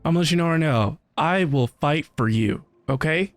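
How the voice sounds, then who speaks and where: A man's voice: on the high side, lively intonation, normal speed. A man giving casual, emphatic reaction commentary as a game streamer, speaking informally. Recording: faint game music behind.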